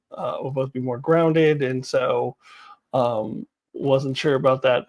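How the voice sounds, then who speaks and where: A woman's voice: fairly low pitch, darkish tone. A woman hosting an online video, giving casual, unscripted commentary in a chatty, rambling way with bits of laughter in her talk. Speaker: YouTube host commenting on TV franchise news.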